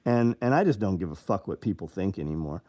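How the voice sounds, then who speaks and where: A man's voice: low-pitched, lively intonation, fast, warm tone. A man in his fifties hosting a casual live show, answering viewer comments in a blunt, rambling and profane way. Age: 50s.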